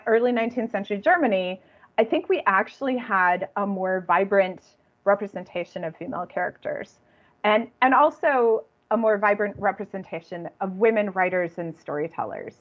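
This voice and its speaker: A woman giving an informal academic talk online, halting and often rephrasing as she goes. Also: mid-range voice. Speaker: guest lecturer and author. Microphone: computer video call. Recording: dull, lo-fi video call audio.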